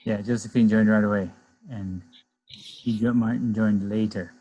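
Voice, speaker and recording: A man's voice: on the low side, fairly even intonation, normal speed, muffled recording, noisy background. A man runs a casual club meeting over a video call. He talks in an easygoing, joking way and calls on each member in turn to introduce themselves. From Minnesota. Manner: friendly, joking, asks each person in turn.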